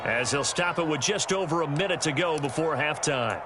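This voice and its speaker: A man's voice: fairly high pitch, animated, moderate pace, a loud crowd, lightly acted. A man with an American accent voicing a football play-by-play announcer in a video game, with polished broadcast delivery. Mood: brisk, focused. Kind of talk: sports commentary in a video game. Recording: game stadium noise behind. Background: loud football game sounds.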